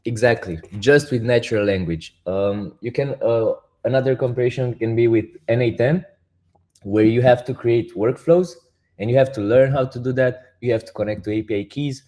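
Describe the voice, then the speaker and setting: A man with a Romanian accent, a project founder speaking as a guest and explaining step by step in halting, restarting sentences. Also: mid-range voice, darkish tone. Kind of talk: casual interview talk.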